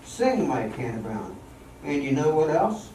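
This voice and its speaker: A man's voice: a little high, very animated, a little slow, dark tone. An older man playing a bossy, hopeful song-and-dance showman, giving emphatic instructions in an amateur radio play. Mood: determined. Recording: echoey hall, distant mic, faint noise.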